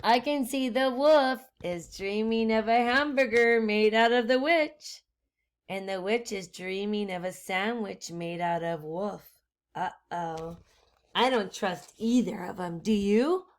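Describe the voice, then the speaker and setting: A woman doing an expressive children's read-aloud, pausing the story to ask listeners questions. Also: mid-range voice. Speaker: storytime reader, teacher.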